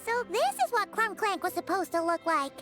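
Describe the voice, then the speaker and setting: A woman voicing a small, excitable, childlike companion in a video game, squeaky and eager. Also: brisk, soft background music, heavily acted. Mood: excited.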